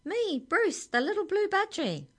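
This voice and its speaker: A woman voicing a small talking budgie in a storybook, high-pitched, earnest and insistent. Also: very animated, unhurried, fairly bright tone, heavily acted. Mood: shrill, insistent. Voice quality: high-pitched, squeaky cry. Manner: agitated, insistent.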